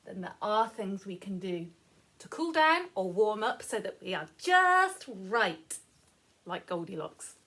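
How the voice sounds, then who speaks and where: A woman's voice: medium pitch, sing-song, a little slow, pushed and bright. A woman reading a story aloud to young children, playful and encouraging, often putting questions to the listener. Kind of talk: animated read-aloud with commentary for young children.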